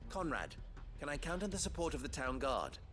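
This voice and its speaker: A man playing a composed, authoritative town leader in a video game, speaking in formal, measured phrases. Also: fairly high pitch, sing-song, a bit bright, soft background music. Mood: grave, resolute. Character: composed adult male town leader rallying defenders, authoritative. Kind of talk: dramatic video game dialogue.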